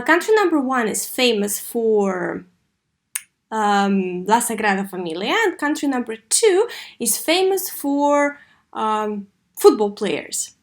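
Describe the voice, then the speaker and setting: A woman teaching an English lesson to young learners, asking questions and answering them herself, with key words pronounced slowly and deliberately. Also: on the high side. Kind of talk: scripted online lesson addressed to young learners.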